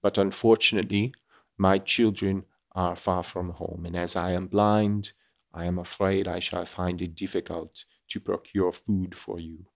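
A man's voice: low voice, steady tone, measured pace. A man voicing a kindly, blind old Frenchman with a French accent and a courteous, slightly theatrical delivery, as in a storybook reading. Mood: kindly, apologetic.

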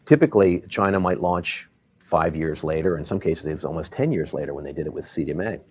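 A middle-aged man from Baltimore, a tech company chief, answering interview questions in a measured way with many fillers and restarts. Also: rumbling low, darkish tone, breathy edge. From Baltimore, Maryland. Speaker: tech company CEO guest.

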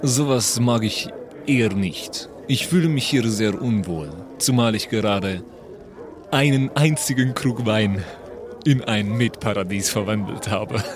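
A man's voice: fairly low pitch, unhurried, a crowd in the background. A man playing an improvised tabletop role-playing game, chatting casually with somewhat hesitant, tentative phrasing.

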